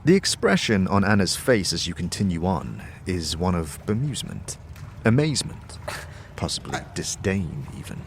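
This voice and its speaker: A man's voice: sits low, big pitch swings, moderate pace. A man giving dramatic scripted narration as the storyteller of an audio drama. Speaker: narrator, game master. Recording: long reverb, ambient noise behind. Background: ambient sound.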